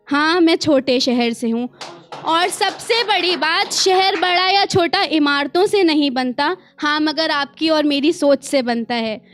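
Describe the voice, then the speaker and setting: High-pitched Indian woman reciting poetry. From small town in India. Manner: expressive, deliberate, repeats lines and refrains for emphasis. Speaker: poet performing her own work. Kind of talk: live spoken-word poetry recital with asides to the audience.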